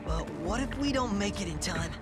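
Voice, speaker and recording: A man's voice: sits high, expressive, moderate pace, loud music behind the voice. A man voicing a goofy, laid-back teenage turtle joker in a video game, with a playful surfer-like manner. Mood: nervous.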